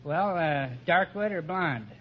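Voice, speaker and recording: A man's voice: high-pitched, takes it slowly. A man from St. Louis giving hesitant, literal answers as a contestant on a vintage radio quiz. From St. Louis, USA. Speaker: quiz contestant, furniture salesman. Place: radio studio with audience. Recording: muffled, very noisy old radio with audience.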